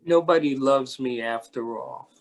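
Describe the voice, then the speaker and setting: A performer playing a kindly, lonely, mild-mannered man in a readers theatre children's story, with a husky, hesitant delivery. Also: mid-range voice, unhurried, close to an everyday voice. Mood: sad.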